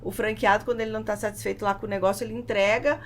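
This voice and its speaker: A woman's voice: mid-range voice, steady tone, rapid-fire. A Brazilian woman speaking casually as a podcast guest about business, often repeating words as she forms her thoughts. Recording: clear background noise, room ambience.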